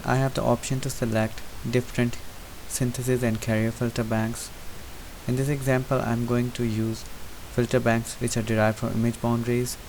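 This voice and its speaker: A man giving an unscripted, methodical software tutorial, explaining each step as he goes. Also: medium pitch, low-quality recording. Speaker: presenter demonstrating software. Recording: hissy recording with steady background noise. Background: hiss.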